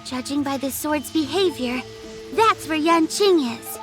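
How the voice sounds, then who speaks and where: A woman's voice: sits high, lively intonation, unhurried, faint music behind. A woman voicing a solemn, determined little girl judge in a video game, formal and resolute. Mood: urgent. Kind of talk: video game cutscene acting.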